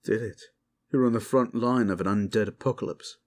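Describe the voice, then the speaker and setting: A man's voice: on the low side, fairly even intonation, fairly quick, darkish tone, natural voice. A man playing a weary, modest and loyal knight-captain, sombre and earnest, in a fantasy audio drama. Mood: dry, fond.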